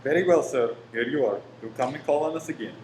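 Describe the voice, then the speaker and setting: A man's voice: medium pitch, expressive, fast talker, lightly acted. A man acting in a radio play as a polite, reassuring shop professor who is secretly a bomb-making conspirator. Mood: obliging. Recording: roomy echo with faint noise.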